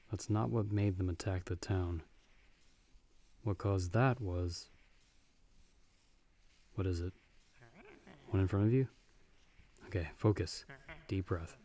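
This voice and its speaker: A man acting in an ASMR audio roleplay as a seasoned, magic-wielding monster hunter, talking the listener through danger in a measured, dry, reassuring way. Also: lowish voice, mellow, natural voice. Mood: tense, steadying. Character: calm, seasoned male monster hunter with magic, guiding a partner.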